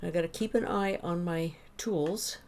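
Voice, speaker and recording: A woman streaming a game, chatting casually with viewers and rambling as she thinks out loud. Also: on the low side, unhurried, a little hiss or hum. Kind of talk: casual gameplay chat with viewers.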